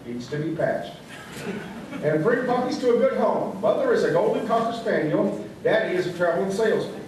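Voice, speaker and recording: Guy playing a folksy mock-serious local radio news man, high voice, big echo, noisy recording. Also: expressive, a little fast. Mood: folksy, earnest. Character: earnest folksy small-town radio newsreader. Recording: echoey stage recording, distant mic, noise.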